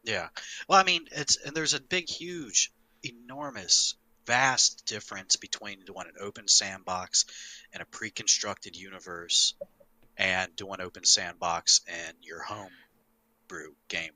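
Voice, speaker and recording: A man who is high, in an emphatic, sweary, casual discussion of tabletop gaming as a game master. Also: measured pace, pushed and bright, rough recording. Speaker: podcast panelist and game master.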